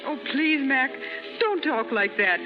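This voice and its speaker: An actress in a 1930s radio drama playing a scheming high-society fiancée, with a dramatic, flustered manner. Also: female voice, expressive, unhurried, over music. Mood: pleading. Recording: muffled 1930s radio broadcast.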